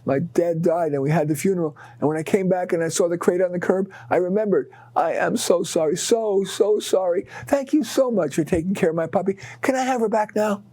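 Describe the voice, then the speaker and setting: A man voicing a frantic, apologetic woman neighbor in a hurried, pleading manner. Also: sits high, warm tone, no echo, voice pushed up.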